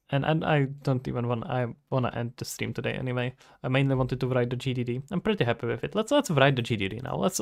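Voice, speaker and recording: A man's voice: mid-range voice, vocal fry. Young man thinking aloud. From Czech Republic. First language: Czech. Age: 20s. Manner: thinking aloud, talking to himself and viewers. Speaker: streamer, indie game developer. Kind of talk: casual livestream chat while working. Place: indoors at a computer.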